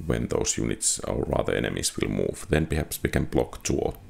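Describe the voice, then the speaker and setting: A man's voice: extremely low pitch, animated, a little fast, creaky, husky. A man giving casual gameplay commentary, muttering as he thinks aloud. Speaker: gaming video host.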